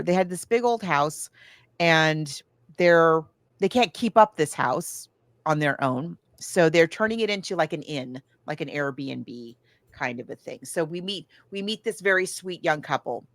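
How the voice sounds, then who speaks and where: A woman with a fairly low voice talks like a podcast host in a casual book discussion. She is chatty and enthusiastic, leaning on emphatic words. The sound is very dry, with no room echo. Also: animated, moderate pace, a bit bright.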